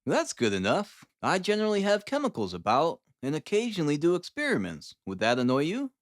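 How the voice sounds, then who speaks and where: A man acts out an excitable, proud gentleman detective from an audiobook. The voice rides high and leaps wildly in pitch as he declaims with great enthusiasm. The sound is dry and close. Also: fairly quick, no echo. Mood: cheerful, eager. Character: eager, excitable, proud young male scientist-detective. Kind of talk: audiobook character acting.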